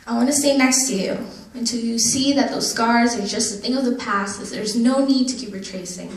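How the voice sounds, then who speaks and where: A young woman performing spoken-word poetry in an earnest, dramatic manner with drawn-out phrases. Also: high voice. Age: young adult. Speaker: poet. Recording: echoey room, distant microphone.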